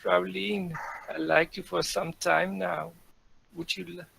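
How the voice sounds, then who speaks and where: A man acts out a friendly German medic in love, in an amateur comic reading. The voice is high and creaky, with slow, stilted German-accented delivery. There is clear noise on the recording. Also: little pitch movement, fairly bright tone, heavily acted, low-quality recording. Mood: awkwardly affectionate. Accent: put-on German. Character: friendly German army medic wooing his sweetheart.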